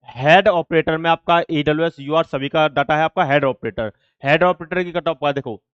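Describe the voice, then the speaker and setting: Man, smooth clear tone, repetitive informal explainer, addressing students, dry muffled lo-fi recording. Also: a little high, no room sound. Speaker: exam-update presenter for an online coaching channel. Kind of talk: informal explainer, reading out official notices and figures.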